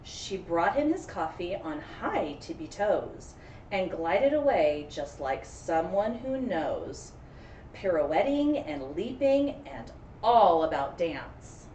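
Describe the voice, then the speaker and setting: An elementary teacher reads aloud in an unhurried, very lively voice, giving the storybook drama and encouragement. She is recorded at a distance in a roomy, echoing space. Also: fairly low pitch, muffled recording. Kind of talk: expressive children's read-aloud with friendly chat. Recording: roomy echo, distant mic, faint noise.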